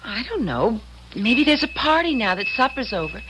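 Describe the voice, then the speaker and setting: A woman's voice: fairly high pitch, big pitch swings, moderate pace, fairly bright tone, a little hiss or hum, rough recording. An actress in an old-time radio drama playing an anxious, doubting woman at the hero's side. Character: anxious, doubting female companion of the hero.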